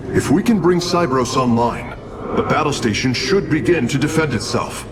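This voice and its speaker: An actor playing a polite, studious alien engineer in a video game, speaking precisely and formally. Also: male voice, medium pitch, lively intonation, moderate pace, very noisy, close to an everyday voice. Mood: cautious. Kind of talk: dramatic video game acting. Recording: loud music behind voice.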